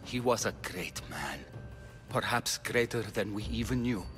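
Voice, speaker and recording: An actor playing a devoted scholar, gentle and earnest, in a video game. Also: male voice, lowish voice, lively intonation, unhurried, over music. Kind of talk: video game cutscene acting.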